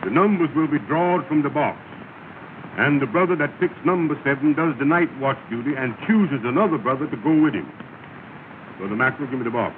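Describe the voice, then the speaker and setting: A man's voice: mid-range voice, lively intonation, a little fast, natural voice. Man playing a pompous lodge leader, tinny old film. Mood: ceremonious. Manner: pompous, ceremonious, with malapropisms. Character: pompous, ceremonious male lodge leader presiding over meeting. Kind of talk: 1930s film comedy acting, formal speechifying. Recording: scratchy, tinny, noisy 1930 film soundtrack.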